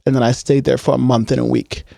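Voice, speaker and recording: A man's voice: medium pitch, fast talker, vocal fry, no room sound, studio quality. A young man from Hawaii, a musician guest, chatting casually in an interview, rambling with lots of "like" and "um". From Hawaii, Oahu north shore. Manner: relaxed, rambling with many "like"s and "um"s.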